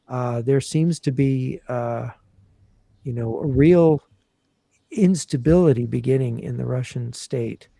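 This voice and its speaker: Podcast guest, male, gravelly and mellow, measured explainer, dry sound. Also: mid-range voice, no echo. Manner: measured, explanatory, many fillers and restarts. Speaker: guest analyst. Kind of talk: casual podcast interview.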